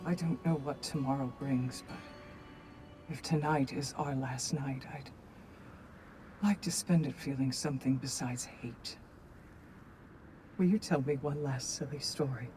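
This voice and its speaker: An actress playing a weary warrior woman who speaks softly and earnestly. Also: a bass voice, steady tone, measured pace, pauses often, faint music behind. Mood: tender. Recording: background noise.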